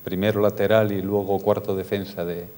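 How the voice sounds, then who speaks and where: A man's voice: on the low side, flat delivery, a little fast, a crowd in the background. A man gives conversational football analysis, recalling things memory as a studio commentator. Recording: noisy recording with electrical hum.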